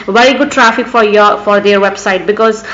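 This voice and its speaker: A woman's voice: medium pitch, steady tone, moderate pace, a bit bright. A woman with an Indian accent and Urdu as her first language narrates an unscripted screen tutorial as host, often saying "actually". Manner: repeatedly says "actually". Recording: echoey, muffled, background noise.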